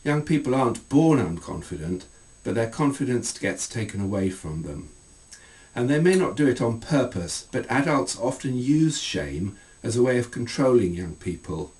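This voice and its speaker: A middle-aged man reading aloud from his own book as an author, in measured, deliberate phrases. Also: mid-range voice, sing-song, darkish tone, distant mic, rough recording. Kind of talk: scripted literary reading.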